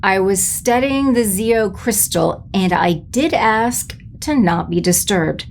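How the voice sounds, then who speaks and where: A woman playing an imperious, scheming space sorceress empress in an audio drama, creaky and commanding. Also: medium pitch, animated, slow pace, a little hiss or hum. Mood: haughty, impatient. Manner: commanding, haughty.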